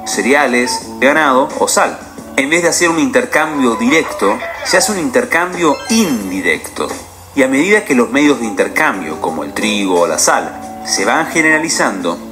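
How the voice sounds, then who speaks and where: A man in his forties giving a scripted explainer narration, posing questions and walking through ideas with an animated, questioning delivery. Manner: animated, questioning delivery with wide pitch swings. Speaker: video essay presenter. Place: indoor studio with painted wall. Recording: music bed behind the voice.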